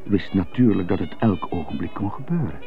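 Brooding stuttering prisoner, radio play, muttered self-questioning, lively intonation, narrow muffled sound. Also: male voice, deep voice, brisk. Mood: bitter, brooding. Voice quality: stutter on some words. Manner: muttering, self-questioning monologue in short bursts. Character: jazz-loving stuttering prisoner, brooding and indignant. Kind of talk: radio drama monologue. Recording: muffled narrow phone-like sound, music behind.

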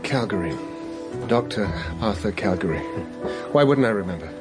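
An actor playing an earnest, troubled doctor in a radio drama, speaking formally and insistently. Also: male voice, lowish voice, expressive, normal speed, close to an everyday voice. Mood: puzzled. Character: earnest, troubled male doctor seeking to clear a name. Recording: music under the voice.

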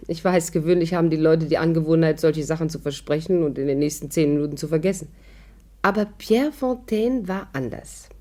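An actress playing a kind, confident, meddling young woman in a 1950s German radio play, brisk and articulate. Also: low-pitched, warm tone.

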